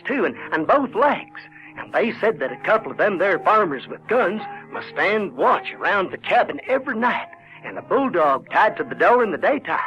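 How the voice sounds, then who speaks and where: A man's voice: fairly high pitch, natural voice. A man playing a folksy rural Southern boy who tells his adventures in an audio drama. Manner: folksy, colloquial. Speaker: narrator in character. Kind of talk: in-character storytelling, audio theater. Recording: dull 1970s recording with music.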